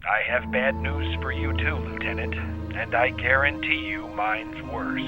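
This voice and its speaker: A man's voice: mid-range voice, fairly even intonation, measured pace, muffled recording, lightly acted. A man playing a brusque, weary starship captain in an audio drama, curt and commanding. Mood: grim, curt. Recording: echoey, loud music over voice.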